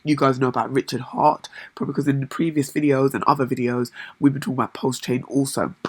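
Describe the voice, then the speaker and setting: A woman with a hoarse voice, chatting enthusiastically to camera in a casual vlog, with lots of fillers and repeated words. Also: extremely low pitch, steady tone, quick pace, dry recording. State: hoarse, lost voice. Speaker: crypto vlogger.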